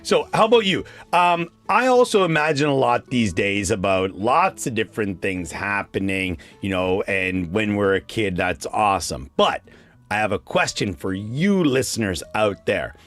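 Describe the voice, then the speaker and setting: A man's voice: on the low side, big pitch swings, normal speed, fairly bright tone. A Canadian man hosting a children's radio show, chatting casually and encouragingly and putting quiz questions to young listeners. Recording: music playing behind the voice.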